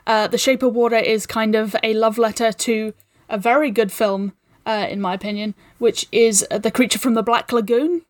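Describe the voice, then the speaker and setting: A woman's voice: a little high, steady tone, moderate pace, no room sound, studio quality. A woman guesting on a casual film discussion podcast, giving appreciative, opinionated commentary.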